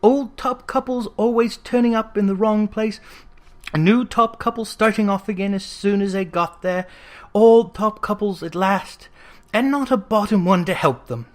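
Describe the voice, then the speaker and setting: A reader giving an expressive, dramatic narration of a classic story, leaning into lists with emphasis. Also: medium pitch, normal speed. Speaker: audiobook reader.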